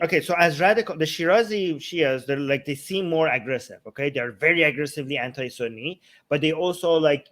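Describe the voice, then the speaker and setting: A man's voice: a little high, fairly bright tone. A man in a casual explanatory talk, speaking informally and often checking in with "okay" or "right". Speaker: talk show host.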